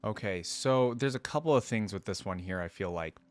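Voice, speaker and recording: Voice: low voice, expressive, brisk, faint music behind. A reaction video host giving casual, conversational commentary on game voice lines.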